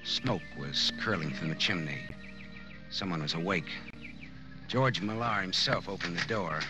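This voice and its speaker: Deep, hard-boiled male private eye. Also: unhurried. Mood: terse, weary. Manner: clipped, sardonic, deadpan. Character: hard-boiled male private detective, wry and world-weary. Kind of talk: 1940s radio detective drama acting and narration. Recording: dull old radio recording with music.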